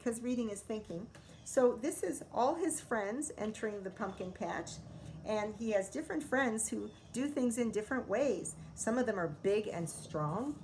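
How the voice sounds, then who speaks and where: A woman's voice: mid-range voice, lively intonation, moderate pace, a little hiss or hum. A middle-aged American woman, a schoolteacher, reading a picture book aloud to young children and explaining it expressively as she goes. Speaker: elementary school teacher.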